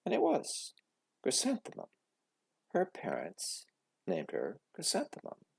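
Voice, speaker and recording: A man reading a children's story expressively, with a dramatic, deliberate delivery full of pauses. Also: mid-range voice, huge pitch swings, gravelly, breathy edge. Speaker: storybook reader.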